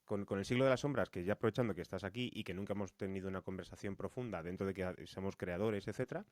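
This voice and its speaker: Male co-host, lowish voice, Spain Spanish, casual halting storytelling, dry studio-like sound. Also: a little mellow, no room sound. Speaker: podcast co-host, fiction podcast creator. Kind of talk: casual conversation, telling anecdotes.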